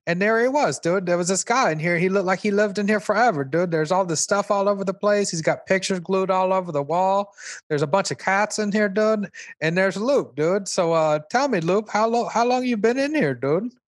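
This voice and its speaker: A man's voice: sits high, moderate pace, no echo, clean studio sound, close to an everyday voice. A man playing an easygoing, dim slacker talk show host who punctuates his slangy chatter with "dude". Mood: excited, friendly. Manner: slangy, ending phrases with "dude". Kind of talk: comedic radio chat.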